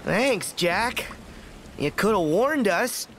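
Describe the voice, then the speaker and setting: Brief: an eager teenage hero, lively and earnest. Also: male voice, high-pitched, slow, very noisy, full character voice. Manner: energetic, earnest.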